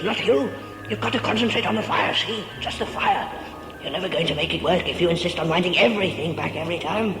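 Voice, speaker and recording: A man's voice: high-pitched, full character voice. A man acting an impatient, insulting sorcerer tutor in a sci-fi audio drama, hectoring and incantatory. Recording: echoing audio play with music behind.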